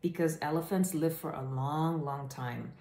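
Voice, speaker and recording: Echoey, lower-pitched woman reading aloud. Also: measured pace, mellow. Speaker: host reading children's stories aloud. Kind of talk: animated read-aloud storytelling to camera. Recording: echoey room.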